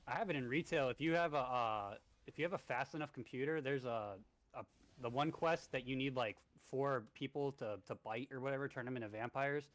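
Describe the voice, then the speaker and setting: 20s guy leading a raid on stream, lots of ums, dry sound, normal pitch. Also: a little fast, fairly bright tone, no echo. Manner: rambling, filler-heavy, easygoing. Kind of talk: casual gaming chat and raid briefing.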